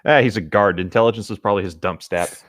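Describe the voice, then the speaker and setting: A man's voice: fairly low pitch, fast talker, no echo, clean studio sound. A man reading a story aloud as co-host, slipping easily into casual, joking chat. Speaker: co-host of a fan fiction reading show.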